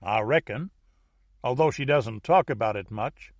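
A big, coarse man from Louisiana played by a male audiobook reader, blunt and drawling. The voice sits at a middle pitch and rises with force. The sound is close and dry. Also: unhurried, no room sound. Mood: grudging.

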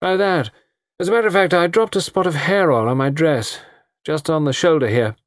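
A man's voice: on the high side, brisk, no echo, clean studio sound, voice pushed up, lightly acted. A man voicing a cool, haughty young woman who answers curtly. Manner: cool, curt, clipped replies. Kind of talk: audiobook character voice.

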